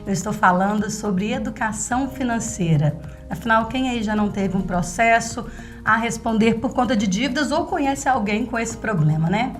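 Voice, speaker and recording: Female podcast host, Brazilian accent, higher-pitched, chatty presenter style, faint music behind. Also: expressive, moderate pace.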